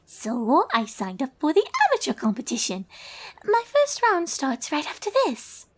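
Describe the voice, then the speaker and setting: A vain cartoon pony socialite with a very high voice. She is theatrical and ladylike, swooping around with affected refinement and excitable flair. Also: normal speed. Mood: breezy.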